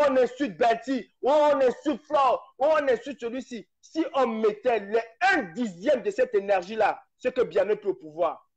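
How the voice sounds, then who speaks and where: A man's voice: sits high, darkish tone. A man speaking passionately on a live stream, hammering points with rhetorical questions and repetition. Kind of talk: impassioned political talk. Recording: dull lo-fi live stream audio.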